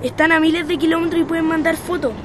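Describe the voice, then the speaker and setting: A high-voiced performer playing an easygoing, joking young skateboarder with a Chilean accent in a radio drama. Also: little pitch movement, fast talker, background noise. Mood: casual.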